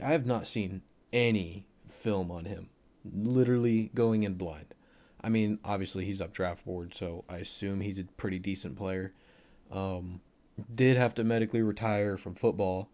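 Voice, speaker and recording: A young man with a cold and a voice deeper than usual, casually rambling through a football film breakdown with plenty of fillers. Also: moderate pace, darkish tone. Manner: rambling, offhand, thinks aloud with many fillers.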